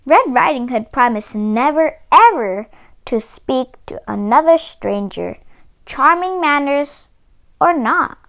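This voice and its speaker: A woman reading a children's story aloud in a deliberate, expressive narrating style. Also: high-pitched. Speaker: storybook reader.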